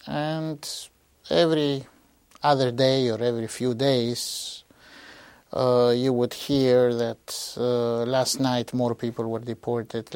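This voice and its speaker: A man in his sixties from Greece, giving a halting oral history testimony with many pauses and fillers. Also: medium pitch, a little mellow, clean tone, no room sound. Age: 60s. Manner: slow, hesitant, with frequent pauses and fillers. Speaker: interviewee.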